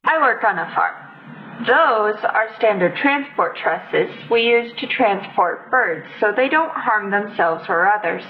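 A woman's voice: mid-range voice, expressive, a little slow, close to an everyday voice. A matter-of-fact farm worker , played by a woman, explains things in an unbothered, plain way for an audio story. The sound is slightly dull, with a faint bed of noise behind it. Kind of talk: dramatized reading of internet comments.

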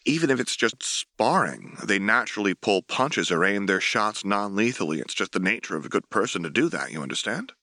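A man voicing an earnest, self-effacing young military officer in a formal, measured way for a dramatic audiobook. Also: on the low side, big pitch swings, bright, forceful tone, no room sound, studio quality, full character voice. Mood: grave, intense. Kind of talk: dramatic audiobook dialogue reading.

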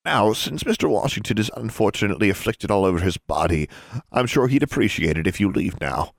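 A man voicing a brisk, matter-of-fact female school nurse in an audiobook, speaking formally. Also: lowish voice, lively intonation, gravelly, no room sound, studio quality.

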